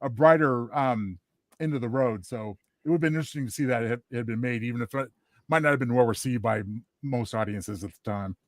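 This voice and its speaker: A man talks as a co-host in casual conversation. He sounds considered and a little halting as he forms his points, recorded dry with hardly any echo. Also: medium pitch. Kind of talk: casual chat.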